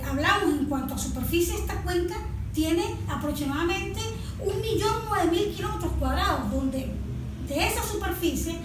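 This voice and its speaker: High-pitched Venezuelan woman, very echoey room. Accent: Venezuelan Spanish. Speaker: guest, environmental project proponent. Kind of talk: explanatory panel interview. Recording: distant mic, very echoey noisy room.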